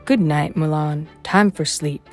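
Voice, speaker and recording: A woman's voice: low-pitched, unhurried, a little mellow, soft background music. A woman voicing a small, boastful, easily miffed male dragon telling a tale from a children's storybook in a theatrical, self-important way. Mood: satisfied, winding down. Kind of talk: children's storybook character reading.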